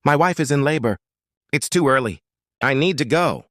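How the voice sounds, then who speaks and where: A man's voice: medium pitch, animated, normal speed, a bit bright, dry recording, clean studio sound, pitched above the performer's own voice, close to an everyday voice. A man voicing an anxious young male painter who speaks urgently, his voice strained.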